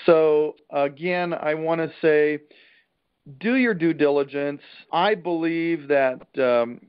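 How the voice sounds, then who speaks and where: A man giving an informal real estate trainer's talk over a conference call, plain-spoken and emphatic, with a salesman's folksy pitch. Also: slow pace, smooth. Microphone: telephone conference call. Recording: dull, band-limited conference call line.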